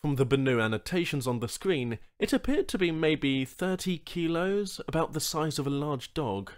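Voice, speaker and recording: A man's voice: a little high, lively intonation, moderate pace. A man narrating a scripted short story as an audiobook reader.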